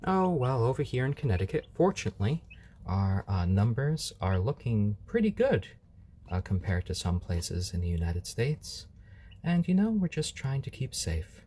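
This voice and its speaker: A man's voice: medium pitch, sing-song, normal speed, warm tone, husky. A man hosting a casual live stream, chatting easygoingly with viewers and cooing over his baby chicks at home.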